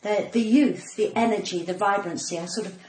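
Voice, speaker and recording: A woman's voice: medium pitch, a little fast, darkish tone. Cut-glass English woman in her sixties, roomy echo. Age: 60s. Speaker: podcast guest, comedian. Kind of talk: casual chat. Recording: roomy echo, faint background noise.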